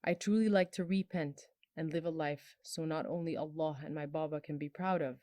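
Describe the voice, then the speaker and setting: A woman in her twenties from Bangladesh, telling her personal story in a soft, earnest, emotional way, her phrases trailing off low. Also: steady tone, moderate pace, no echo. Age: 20s. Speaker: storyteller sharing a personal testimony. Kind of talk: personal testimony.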